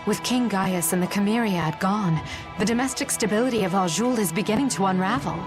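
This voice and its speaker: A woman's voice: mid-range voice, brisk, close to an everyday voice. An actress playing a proud, disillusioned noblewoman in an English video game. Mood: indignant, troubled. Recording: background music under the voice.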